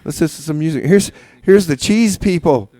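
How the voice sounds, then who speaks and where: Guy djing on small community radio, rambly and halting, kinda deadpan, lots of repeating himself. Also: on the high side, no room sound. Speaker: community radio music show host. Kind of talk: casual radio DJ chat with deadpan comedy.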